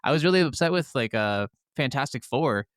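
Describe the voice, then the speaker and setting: A man with a clean, smooth voice co-hosts a casual podcast. He speaks informally at a middle pitch, giving exasperated opinions, and the sound is dry with very little room in it. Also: animated, brisk, fairly bright tone, dry recording. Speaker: podcast co-host.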